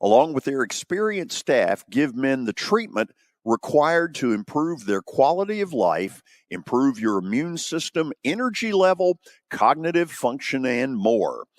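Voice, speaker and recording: An American man hosting a radio show, speaking in the manner of sports talk and sponsor reads, with a husky voice. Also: mid-range voice, sing-song, a little slow, dry recording, studio quality.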